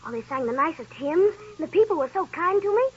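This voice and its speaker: A woman's voice: high voice, lively intonation, fast, slight background noise. A sweet little sickly boy. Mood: innocent. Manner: sweet, innocent and eager. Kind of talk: 1930s radio drama acting. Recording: dull old radio broadcast.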